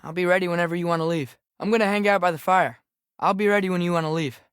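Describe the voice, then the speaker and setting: A restless teenage boy adventurer speaks in a fairly high voice with an American accent. His delivery is casual, hesitant and understated, like a companion in a video game. The sound is very dry, with no room on it. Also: fairly even intonation, quick pace, a bit bright, smooth, no echo. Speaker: game character voice actor.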